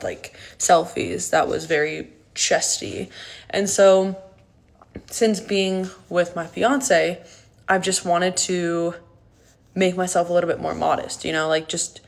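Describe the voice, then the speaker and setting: Woman in her late 20s, low register, direct-to-camera vlogger, frank and emphatic. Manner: candid and emphatic, many "like" fillers. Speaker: fitness content creator. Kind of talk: casual talking-to-camera vlog.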